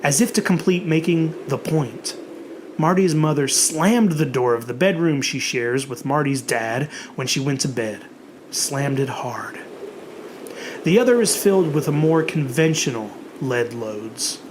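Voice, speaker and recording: A narrator reading a horror story in a theatrical, dramatic way, with emphatic, drawn-out words. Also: mid-range voice, animated, noisy background.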